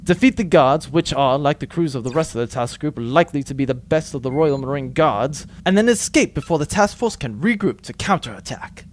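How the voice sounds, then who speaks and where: A cocky space outlaw in a comic radio play, acted by a man. His voice sits a little high, wry and theatrical, over faint noise. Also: lively intonation, fairly quick, hardly any pauses, natural voice. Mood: smug, explanatory. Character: cocky, wordy male space outlaw crew. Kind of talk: comic audio drama acting.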